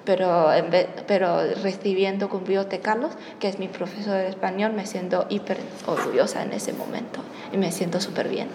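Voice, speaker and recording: A woman's voice: mid-range voice, steady tone, moderate pace, few pauses, darkish tone. Young woman, echoey hall. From China. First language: Chinese. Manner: hesitant, searching for words, self-correcting. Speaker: interviewee. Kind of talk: personal storytelling in a second language. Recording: echoey hall with faint background noise.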